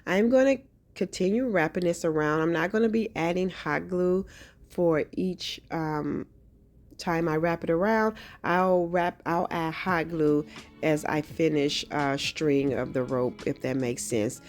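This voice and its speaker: Casting note: a friendly woman with a lowish voice and a slight cold-rasp, relaxed crafting vlog chatter, soft music underneath. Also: unhurried. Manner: friendly, chatty, frequent ums. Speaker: craft channel host. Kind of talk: casual craft tutorial narration.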